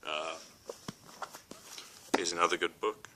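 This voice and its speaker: A man's voice: deep voice, steady tone, takes it slowly, noisy background. A young man with a New Zealand accent talks casually to camera, hesitant, with many ums and restarts. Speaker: spiritual vlogger.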